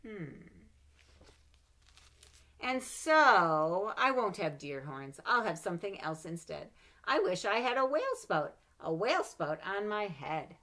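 A middle-aged woman reading a children's picture book aloud at home, expressive and animated, with playful asides between pages, recorded on a webcam. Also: on the low side, takes it slowly. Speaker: storybook reader. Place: home room with bookshelves. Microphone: webcam at desk.

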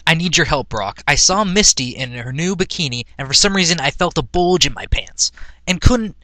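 A man's voice: fairly high pitch, animated, normal speed, bright, forceful tone, dry recording. A man voicing a naive, anxious teenage boy in an earnest, questioning, storybook-reading manner. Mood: shy, worried.

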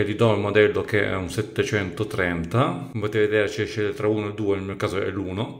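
A young man presents an informal, step-by-step product tutorial indoors. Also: lowish voice. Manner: informal, instructional. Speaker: tech reviewer and tutorial presenter. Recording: roomy indoor echo.

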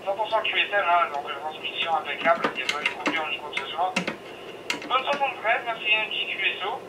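A man's voice: sits high, lively intonation, fast. A man, an amateur operator talking informally over a digital radio link. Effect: digital radio transmission. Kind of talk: informal two-way ham radio exchange. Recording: noisy distant radio transmission from speaker.

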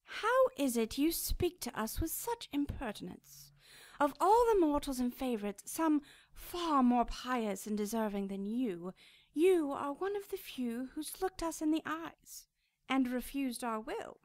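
High-voiced, imperious cherub. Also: female voice, expressive, darkish tone, no echo. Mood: indignant, haughty. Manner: formal, stately, measured phrasing. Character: cherub, powerful angel, cold, haughty and imperious. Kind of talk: radio drama acting.

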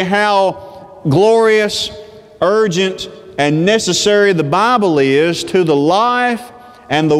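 A man in his thirties preaching a sermon from a church pulpit, speaking in a deliberate pulpit manner. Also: high voice. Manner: slow, deliberate pulpit delivery. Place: church sanctuary with reverb. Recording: long church reverb.

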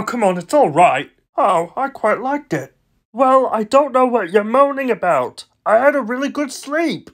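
A man's voice: very high-pitched, exaggerated voice. A man voicing a laid-back, cheerful cartoon steamroller who loves music, with an easygoing drawl.

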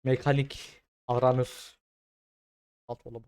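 A young man doing casual, slangy game commentary, swearing freely and repeating words in excited bursts. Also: mid-range voice, slow, clear, resonant tone, no echo. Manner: foul-mouthed, slangy. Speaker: gaming streamer.

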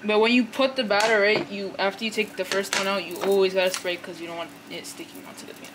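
A woman's voice: medium pitch, fairly even intonation, quick pace. A woman explaining how to cook pancakes in a home kitchen, chatty and casual with lots of fillers. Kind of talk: casual cooking demonstration. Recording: home video, loud background noise.

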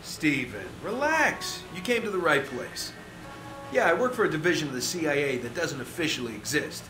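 Manic, high-pitched rogue spy. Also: male voice, big pitch swings, moderate pace, reverberant, over music, rough recording. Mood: chatty, flippant. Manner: rambling, flippant, jokey menace. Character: manic, chatty, unhinged male rogue CIA operative. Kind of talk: video game cutscene acting.

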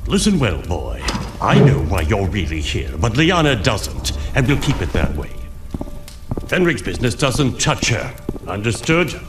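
An actor playing a gruff, commanding older wizard in a video game, with a brusque, theatrical, avuncular manner. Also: male voice, fairly low pitch, wildly swooping pitch, a little slow, music in the background. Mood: stern.

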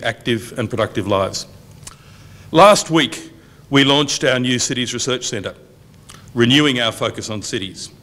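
Brief: middle-aged man, academic host at a lectern, formal and measured opening remarks, mid-pitched voice, lectern mic in a function room. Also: fairly bright tone. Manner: measured, reads out long lists evenly. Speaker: university dean opening a public event. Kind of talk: formal welcome speech at a lectern. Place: university function room, indoors, medium size. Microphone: lectern microphone on a boom stand.

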